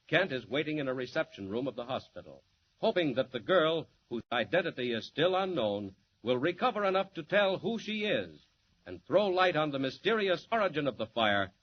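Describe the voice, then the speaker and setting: A man's voice: mid-range voice, highs cut off. A man announcing and narrating a 1940s radio serial in a dramatic, declamatory way. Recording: scratchy 1940s radio transcription.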